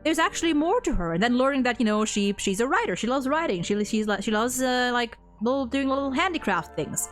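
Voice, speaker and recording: A woman with a fairly high voice hosts a casual game discussion. She rambles, doubles back on her words and swears offhandedly. Music plays behind her. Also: animated, a little fast, few pauses. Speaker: host.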